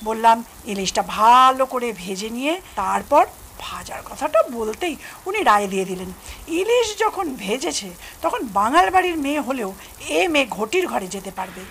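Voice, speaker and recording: A woman playing a chatty middle-aged mother-in-law, telling anecdotes in a lively way in an audio drama. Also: fairly high pitch, normal speed, creaky, a little hiss or hum, heavily acted. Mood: animated recounting. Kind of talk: Bengali audio drama acting.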